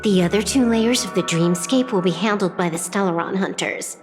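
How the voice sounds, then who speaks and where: A woman's voice: mid-range voice, normal speed, close to an everyday voice. An actress playing a cool, blunt strategist woman in a video game, dry, unruffled and portentous. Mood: matter-of-fact. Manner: dry, matter-of-fact, portentous. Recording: clear music underneath.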